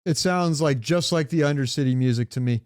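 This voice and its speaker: Clear, slightly high-voiced guy chatting enthusiastically. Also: fairly quick, a little mellow, dry recording, clean studio sound. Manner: enthusiastic, profane, slangy. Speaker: podcast co-host. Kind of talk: casual chat about comics, movies and games.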